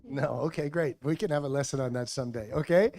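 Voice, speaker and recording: A man hosting a school assembly, asking students brisk, encouraging follow-up questions on a handheld microphone. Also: mid-range voice, dark tone. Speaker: school assembly host and teacher.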